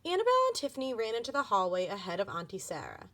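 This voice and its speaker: A woman reading a children's book aloud as an expressive storybook narrator. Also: mid-range voice, quick pace.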